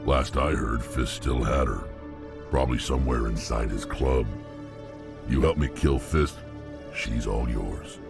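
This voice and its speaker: Hulking alien mercenary, extremely deep growl. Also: male voice, measured pace, creaky, over music, exaggerated voice. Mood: matter-of-fact. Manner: terse, blunt, menacing. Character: huge gruff alien mercenary, menacing and blunt.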